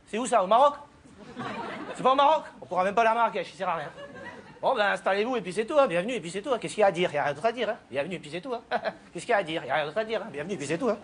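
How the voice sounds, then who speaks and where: A man's voice: high-pitched, lively intonation, very fast, fairly bright tone, a little hiss or hum. A man playing a blunt country father. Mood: suspicious, blunt. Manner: blunt, repetitive, muttering verbal tics. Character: gruff, suspicious, rural Alsatian father, middle-aged, tactless.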